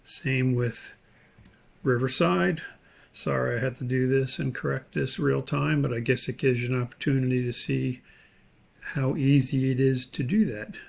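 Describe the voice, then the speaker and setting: Male course instructor, medium pitch, unscripted screen-share walkthrough, informal explanatory delivery with frequent pauses. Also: steady tone, creaky, husky. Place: indoors, voice-over for screen recording.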